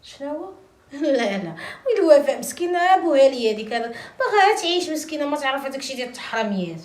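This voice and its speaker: Woman playing a bossy moroccan wife, very high voice, quick scolding speech, echoey room. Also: very animated. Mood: mocking. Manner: laughing, scolding, shouting. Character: sharp-tongued married woman, mocking and bossy. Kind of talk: amateur short-film acting. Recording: roomy echo.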